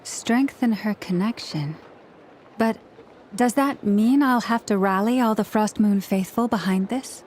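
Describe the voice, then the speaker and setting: An actress playing a calm, inquiring young woman adventurer in a video game, speaking in a measured, earnest way. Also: mid-range voice, a little hiss or hum, close to an everyday voice. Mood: earnest, puzzled. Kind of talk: scripted video game character dialogue. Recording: music behind the voice.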